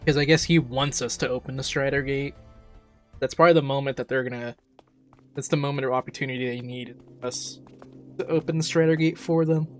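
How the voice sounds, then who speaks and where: A male streamer reacts live to a game's story. He talks informally at a middling pitch, thinking out loud and speculating, while faint music plays behind him. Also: steady tone, a little fast. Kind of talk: casual live reaction commentary.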